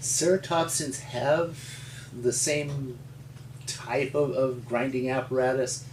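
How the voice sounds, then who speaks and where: An older man from rural Montana with an American accent, telling his story in an interview in a halting, folksy, explanatory way. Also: medium pitch, fairly even intonation, a little mellow. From rural Montana, United States. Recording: roomy, distant mic with faint hum.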